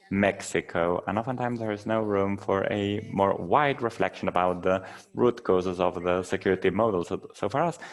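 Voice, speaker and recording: A man with a Colombian accent who moderates a panel discussion and interprets the speakers into English, in a measured, formal way. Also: low-pitched.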